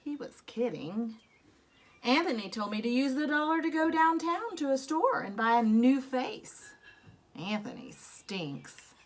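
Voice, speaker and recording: A woman reading a children's picture book aloud expressively, telling it as a young boy, with dramatic emphasis and drawn-out rueful phrases. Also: high-pitched, big pitch swings, slight background noise. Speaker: storybook reader. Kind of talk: expressive read-aloud of a children's story in a young boy's first person.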